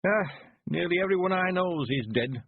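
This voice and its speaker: A man voicing a cheerful, frail 91-year-old man, quavering and slow, rustic and stubborn. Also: sits high, expressive, slight background noise, voice pushed up. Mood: wistful. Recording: phone-like lo-fi sound.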